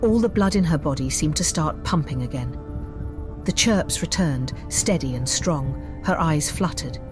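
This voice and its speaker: A woman storyteller reading scripted fantasy narration in a measured, theatrical way. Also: low-pitched, expressive. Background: music bed.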